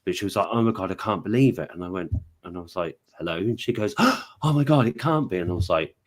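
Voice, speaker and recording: A man with a British accent tells a story to a panel in a fairly low voice. He is animated and puts on the voices of the people he quotes. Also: quick pace. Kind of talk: casual storytelling.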